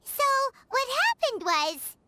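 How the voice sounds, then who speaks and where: A woman voicing a tiny childlike fairy companion, inquisitive, chirpy and excitable, in a video game. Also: extremely high pitch, slow pace, very noisy, full character voice. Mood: earnest. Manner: refers to self in third person, chirpy.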